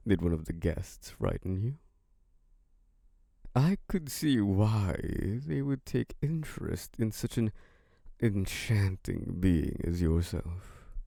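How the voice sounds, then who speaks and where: Ancient vampire lord, played by a man: very deep, unhurried, flirtatious and possessive, in an ASMR drama. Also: animated, dry recording, clean studio sound, heavily acted. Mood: seductive. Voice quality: deep, gravelly. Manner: slow, velvety and flirtatious, with soft laughs. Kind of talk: ASMR roleplay audio drama.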